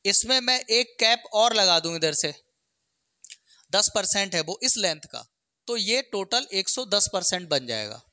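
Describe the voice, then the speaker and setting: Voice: mid-range voice, very animated, measured pace, sharp tone, no echo, studio quality. A maths teacher explains exam problems step by step, often checking in with short questions.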